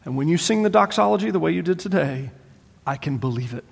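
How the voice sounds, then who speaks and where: A man preaching a sermon as pastor, animated and exclaiming, voicing his listeners' doubts aloud, in a large church sanctuary. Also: a little high, quick pace, vocal fry, husky. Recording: long hall reverb.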